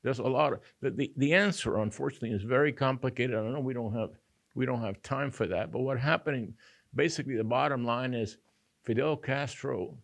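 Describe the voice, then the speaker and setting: An older man from Cuba, speaking as a panelist in halting, earnest roundtable remarks. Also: medium pitch, creaky. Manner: halting, with restarts and fillers.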